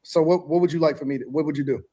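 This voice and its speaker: Quick-talking man posing hypotheticals. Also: on the high side, mellow. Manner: probing, hypothetical questioning. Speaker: co-host in a played podcast clip. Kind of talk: casual debate.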